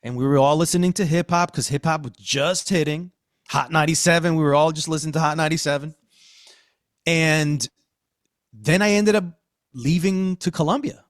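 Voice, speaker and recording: A man's voice: fairly high pitch, normal speed, dry recording, clean studio sound. A middle-aged man from Queens, New York, hosting a casual podcast discussion with emphatic, slangy talk. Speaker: podcast host.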